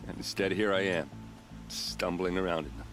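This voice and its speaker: A man as a deep-voiced grieving guard captain. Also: moderate pace, music in the background, lightly acted. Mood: bitter grief. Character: grieving, hard-drinking male captain of the guard. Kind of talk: cinematic video game acting.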